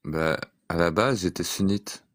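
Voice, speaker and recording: A man in an online debate, speaking calmly and methodically and reciting verses to make his point. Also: low-pitched, a little slow, dry recording, studio quality. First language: French speaker.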